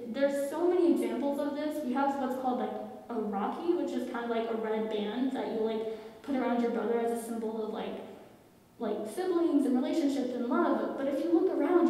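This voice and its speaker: A teenage girl from Tennessee speaking earnestly on a student panel, halting now and then with fillers. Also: on the high side, dark tone. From Tennessee, USA. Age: teens. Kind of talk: personal testimony on a panel. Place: large school hall. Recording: echoey hall, far from the mic.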